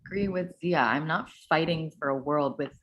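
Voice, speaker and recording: Woman acting eccentric blunt leftie gal, flippant, lowish voice, bit of noise, play reading. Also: normal speed, natural voice. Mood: defiant. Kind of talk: live staged play reading. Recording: faint noise and clicks.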